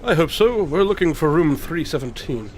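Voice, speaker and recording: An actor playing a self-assured, dryly witty detective in an audio drama, speaking in a formal, old-fashioned manner. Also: male voice, on the high side, sing-song, normal speed. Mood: confident, curious.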